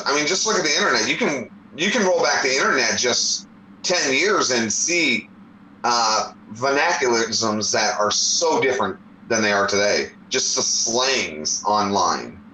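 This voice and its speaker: Bright-voiced lecturing man in his forties. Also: medium pitch, a little hiss or hum. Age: 40s. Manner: explains at length, lecturing. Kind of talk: casual debate chat. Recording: roomy echo.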